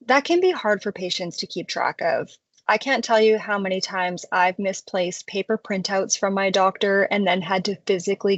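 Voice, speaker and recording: Dry, close-miked woman presenting a software tutorial. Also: medium pitch, no echo. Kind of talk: scripted product tutorial narration.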